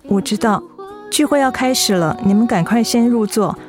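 An actress as a devoted young woman in a radio drama, a daughter with a fairly high voice. She speaks with tenderness and an anxious edge, over music. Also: normal speed, close to an everyday voice. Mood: composed, grateful. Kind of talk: Mandarin radio drama acting.

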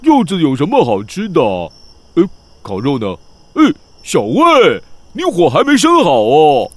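A man's voice: a little high, wildly swooping pitch, measured pace, heavily acted. A man voicing a cheeky, sarcastic young boy who whines and complains in a children's radio drama. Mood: impatient. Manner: whiny, exaggerated, complaining.

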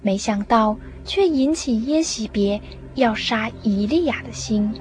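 A woman narrating a radio drama with measured, expressive scripted storytelling. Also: fairly high pitch. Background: music.

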